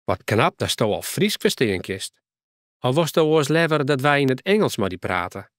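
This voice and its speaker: A man voicing a brisk, hospitable middle-aged mother in a storybook reading. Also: medium pitch, sing-song, no echo, studio quality, close to an everyday voice.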